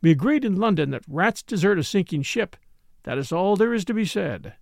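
A man's voice: high-pitched, animated, fairly quick, creaky, a little mellow, no room sound, clean studio sound. A man voicing a sullen, haggard Englishman who answers in a clipped, dismissive way. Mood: curt.

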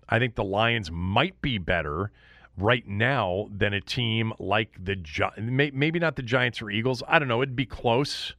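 Animated man recapping sports, lowish voice. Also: gravelly, no echo, studio quality. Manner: conversational, opinionated, emphatic. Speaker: sports podcast host. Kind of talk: casual sports recap commentary, talking solo to listeners.

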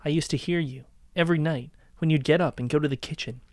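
A man acting a vengeful, obsessive young captor in a dark dramatic podcast reading, speaking in an accusing, deliberate way. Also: a little high, dry recording, lightly acted. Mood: cold, controlled.

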